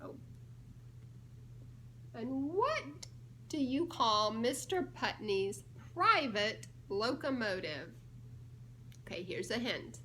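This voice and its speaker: A woman reading a picture book aloud to young children in a playful, expressive way, pausing to ask guessing questions. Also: fairly high pitch, a little hiss or hum. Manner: playful, expressive, drawn-out delivery of key words with teasing pauses. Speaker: children's storybook reader and church children's ministries director.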